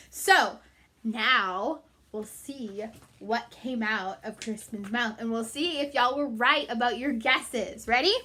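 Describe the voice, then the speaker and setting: Lady reading to kids, high bright voice, animated and chatty. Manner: animated, emphatic, addressing young listeners. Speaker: storyteller reading to children. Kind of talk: children's read-aloud with chatty asides.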